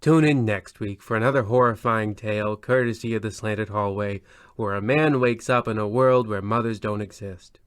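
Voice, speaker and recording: A man playing a pompous, melancholy radio horror host, arch and deadpan, in an old-time parody style. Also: fairly low pitch, normal speed, smooth, no room sound, studio quality, natural voice. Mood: wry. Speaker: host narrator.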